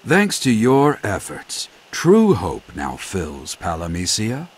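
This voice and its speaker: A man's voice: lowish voice, huge pitch swings, slow pace, breathy edge, heavily acted. An actor playing a solemn, grateful man who speaks in formal, lofty oratory in a video game cutscene. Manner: formal, florid, earnest oratory.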